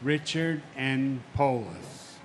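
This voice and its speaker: A man's voice: medium pitch, expressive, slight background noise. A man reads names at a memorial ceremony and speaks solemnly and firmly as a victim's brother. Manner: solemn and measured, then firm and insistent. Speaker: victim's family member reading names. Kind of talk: memorial ceremony name reading and speech.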